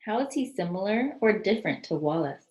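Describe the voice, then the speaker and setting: A woman reading a children's storybook aloud as a teacher, expressive and deliberate, explaining and putting questions to her listeners. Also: mid-range voice. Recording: roomy, echoing sound.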